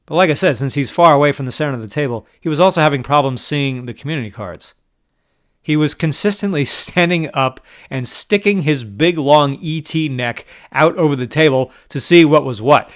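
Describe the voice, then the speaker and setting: A man's voice: mid-range voice, a bit bright. A man from New York telling poker table stories in a wry, exasperated way, with short laughs. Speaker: poker vlogger. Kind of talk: casual storytelling monologue.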